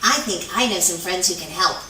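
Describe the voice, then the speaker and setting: A woman voicing a cheerful, wise otter who acts as a friendly guide in a children's puppet show, jaunty and deliberate. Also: fairly low pitch, bright, forceful tone. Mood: helpful. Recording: echoey outdoor-sounding, distant mic, faint noise.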